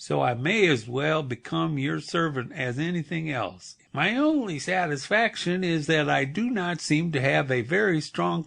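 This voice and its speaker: Storybook creature, squeaky and gravelly, wordy and mournful, put on by a male narrator. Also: a bit bright, heavily acted. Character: squeaky bewildered flying creature with a moose-like head.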